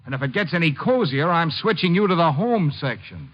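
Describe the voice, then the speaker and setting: Swooping, sarcastic newspaper boss. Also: male voice, a little high, sing-song. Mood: mocking. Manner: mocking, drawn-out, teasing. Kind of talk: 1950s radio drama acting. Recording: muffled 1950s radio recording, faint noise.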